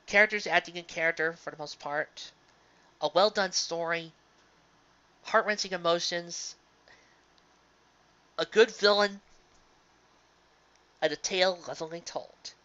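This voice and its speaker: A live reader of fan fiction giving earnest commentary to an audience, halting and emphatic, with sentimental delivery and dramatic pauses, on a dull lo-fi home recording. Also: medium pitch, pushed and bright, clear, resonant tone.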